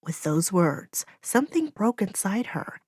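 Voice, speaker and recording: A woman's voice: mid-range voice, sing-song, measured pace, darkish tone, dry recording, studio quality. A woman reading a fantasy audiobook as narrator, in dramatic, solemn storytelling.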